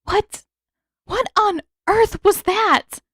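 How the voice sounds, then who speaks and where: A woman's voice: very high voice, extremely slow, fairly bright tone, dry recording, clean studio sound, voice pushed up, exaggerated voice. A woman voicing a determined teenage girl heroine in a storybook, earnest and urgent. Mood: shocked.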